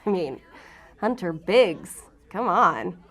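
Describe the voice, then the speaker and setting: An actress playing a guarded, defiant law-enforcement agent in an audio drama, with a sarcastic, defensive way of talking. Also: female voice, on the low side, lively intonation, takes it slowly. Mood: brazen, defensive.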